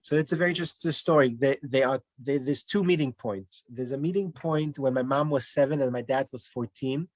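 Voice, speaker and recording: A man's voice: medium pitch, a little fast, hardly any pauses, dry recording. A man from Denmark, a guest in a casual online interview, telling his family story in a rambling way with many restarts and fillers.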